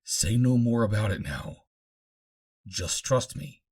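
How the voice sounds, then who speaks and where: A man's voice: medium pitch, lively intonation, slow pace, a little mellow, husky, pitched above the performer's own voice, exaggerated voice. A man voicing a proud, calculating Martian scientist who creates bodies, speaking in a formal, grandiose lecture. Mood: shrewd, secretive.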